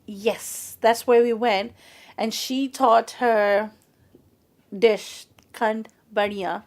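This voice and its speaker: A woman, a guest telling stories in a casual conversation, chatty and animated and acting out what people said. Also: fairly high pitch, very slow. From England. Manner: chatty, animated storyteller who acts out quoted speech.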